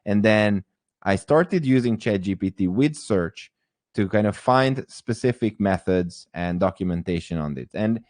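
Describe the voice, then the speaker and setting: A man's voice: fairly low pitch, expressive, measured pace, darkish tone, no room sound. A man teaching a live online course, talking in a hesitant way with many fillers and restarts. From Hungary. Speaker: AI course instructor.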